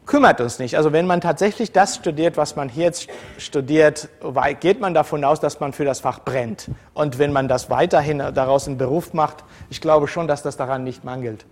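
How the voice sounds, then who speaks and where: A man from the former Soviet Union gives a relaxed university lecture in a slightly raised voice. He talks to his students in a conversational way, drifting between points with frequent fillers and self-corrections. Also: a little high, normal speed, few pauses.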